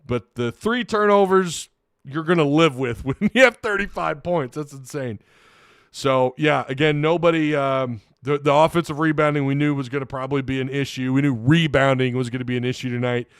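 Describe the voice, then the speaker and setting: A man's voice: medium pitch, moderate pace, smooth, no echo, clean studio sound. A man hosting a casual solo sports recap, tired and losing his voice, rambling with frequent restarts. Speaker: sports podcast host.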